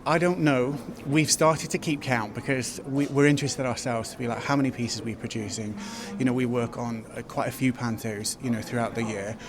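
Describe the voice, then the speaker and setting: A man's voice: medium pitch, fairly even intonation, normal speed, a crowd in the background. A man who designs costumes, talking enthusiastically in an interview and laughing easily. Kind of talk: casual interview.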